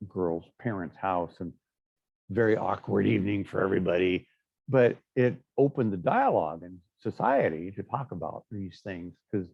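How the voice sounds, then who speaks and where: A man's voice: deep voice, vocal fry, dry recording, muffled recording. A middle-aged man teaching a class in a chatty, anecdotal way, with frequent fillers and asides. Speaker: instructor. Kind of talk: classroom lecture.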